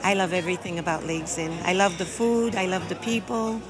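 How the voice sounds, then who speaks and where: A woman's voice: lowish voice, a little fast, low-quality recording. A woman, chatting casually in an interview. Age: 60s. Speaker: interviewee, innkeeper's wife. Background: music.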